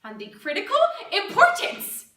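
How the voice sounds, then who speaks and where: A woman performs a middle-aged crafts lady from a small town, earnest and perky in a stage monologue. Her voice is high and sweeps widely up and down, with theatrical pauses. It is heard from a distance in an echoey room. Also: wildly swooping pitch, sharp tone, heavily acted. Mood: bright, proud. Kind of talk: competitive speech monologue, dramatic interpretation.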